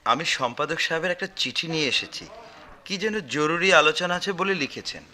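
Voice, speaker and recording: A man's voice: a little high, expressive, a little fast, pushed and bright. A man playing a proud, principled, touchy poet in a radio play, polite but pointed. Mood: polite, curious. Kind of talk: 1990s radio play acting.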